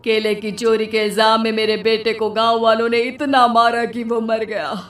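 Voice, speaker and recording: A woman voicing a vengeful female witch in a cartoon, gloating and cackling with slow, drawn-out threats. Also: fairly high pitch, little pitch movement, fairly bright tone, distant mic, faint music behind, natural voice. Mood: bitter. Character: vengeful female witch stealing village children. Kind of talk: cartoon voice acting.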